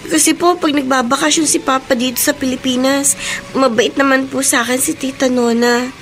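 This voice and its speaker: A woman's voice: high voice, steady tone, brisk, a bit bright. A Filipino woman playing a meek, plaintive, mistreated young stepdaughter in a radio drama. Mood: plaintive, resigned. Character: mistreated, obedient young stepdaughter doing household chores.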